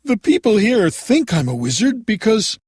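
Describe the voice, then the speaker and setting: A man's voice: big pitch swings, moderate pace, darkish tone, slightly rough, no room sound, studio quality, exaggerated voice. A man voicing a timid little old man who poses as a great wizard in a storybook reading, talking meekly. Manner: booming at first, then meek and apologetic.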